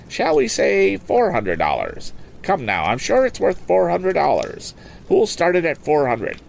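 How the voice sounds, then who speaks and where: A man voicing a middle-aged male auctioneer who talks in brisk sing-song sales patter. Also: high voice, wildly swooping pitch, vocal fry, slight background noise, voice pushed up, heavily acted. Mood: coaxing.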